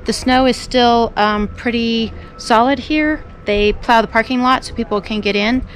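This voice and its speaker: A middle-aged woman talking casually to a handheld camera outdoors, giving relaxed, informative tips on hiking spots and road stops. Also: fairly high pitch, measured pace, soft background music. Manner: relaxed, informative tour-guide talk. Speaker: hiking vlogger. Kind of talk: casual travel vlog narration. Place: outdoors by roads, parking lots and trails. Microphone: handheld camera at arm's length.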